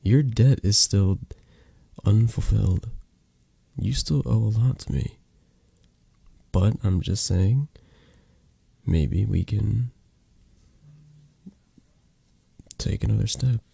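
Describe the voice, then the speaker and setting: A man playing a flirty, possessive, tender mafia boss in an intimate ASMR roleplay, hushed and halting, drifting into whispers. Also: fairly low pitch, gravelly, slightly rough, no room sound, heavily acted. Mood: soft, possessive. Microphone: close microphone, dry sound.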